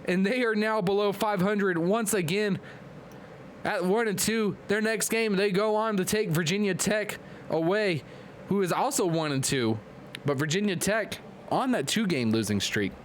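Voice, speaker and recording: Male stream host, high voice, excitable play-by-play caller, hoarse and congested. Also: slight background noise. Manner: excitable, hyped play-by-play with quick asides to chat. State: losing his voice, nose clogged. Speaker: league commentator and stream host. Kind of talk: live-streamed play-by-play commentary.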